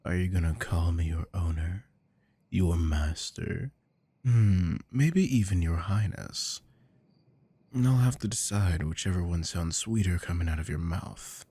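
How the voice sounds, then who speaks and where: A man playing a possessive, dominant boss in an intimate ASMR roleplay, teasing and commanding in a deep, gravelly murmur. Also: unhurried, mellow, dry recording. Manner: commanding, coaxing, low murmurs slipping into whispers.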